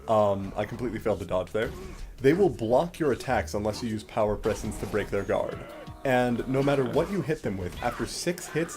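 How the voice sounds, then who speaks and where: A man giving casual, explanatory gameplay commentary with a sardonic streak and some mild swearing. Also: medium pitch. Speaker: Let's Play host and commentator. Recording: game music behind the voice. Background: video game music.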